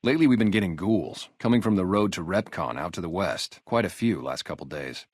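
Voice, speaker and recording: Low-voiced, streetwise wasteland sniper guard. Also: male voice, lively intonation, brisk, husky, no room sound, clean studio sound. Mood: matter-of-fact. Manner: casual, streetwise, conversational. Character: gruff ex-gang sniper guarding a wasteland town, loyal, guarded. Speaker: security guard and former soldier. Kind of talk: video game character dialogue, naturalistic.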